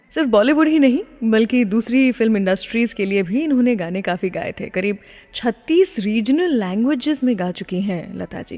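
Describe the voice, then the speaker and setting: Woman, radio presenter, mid-pitched voice, code-switches into English, classic music show style, long echoing reverb. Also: a little mellow. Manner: mixes Hindi with English phrases.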